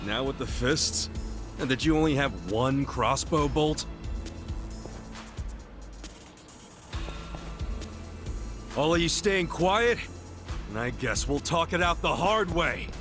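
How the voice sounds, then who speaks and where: A brash, cheeky yakuza hero from a video game dub. His pitch swings widely while he takes his time over the words, and music plays behind him. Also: lively intonation, a little slow. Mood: defiant. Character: brash upbeat male yakuza protagonist, cheeky and chatty.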